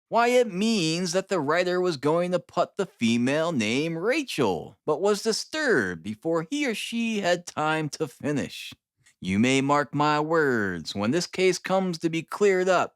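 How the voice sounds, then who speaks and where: A man's voice: a little high, sing-song, measured pace, clear, resonant tone, dry recording, studio quality, pitched above the performer's own voice. Guy doing a cocky little police detective, showy and easily miffed, voice jumps all over, audiobook style. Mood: indignant, boastful. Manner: showy, self-important. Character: boastful, touchy little male police detective.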